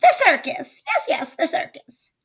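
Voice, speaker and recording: Woman playing a shrill, screechy, greedy storybook witch. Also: huge pitch swings, measured pace, a bit bright, heavily acted. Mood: excited scheming. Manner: theatrical, gleeful, exclamatory. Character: grouchy, greedy, hungry witch with a screechy temper. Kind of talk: children's storybook read-aloud character voice.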